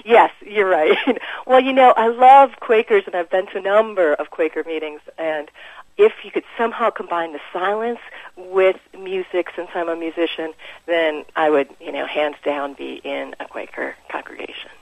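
A woman's voice: lowish voice, normal speed, no echo, rough recording. An American woman, a musician, speaking casually and informally as an interview guest. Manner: chuckles often, informal.

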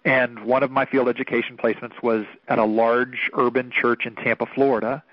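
Minister, late thirties, from Oklahoma; earnest, reflective interview guest, dull radio sound. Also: male voice, medium pitch, little pitch movement, dry recording. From Oklahoma, United States. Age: 30s. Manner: earnest, thoughtful, measured storytelling.

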